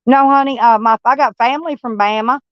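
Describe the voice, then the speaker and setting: A woman's voice: fairly high pitch, brisk, dry recording, dull audio. A woman with a Texas accent, originally from Kentucky, hosting a live stream and chatting with viewers in a rambling, folksy, blunt way, with some swearing. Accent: Texan. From Kentucky, USA. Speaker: livestream host.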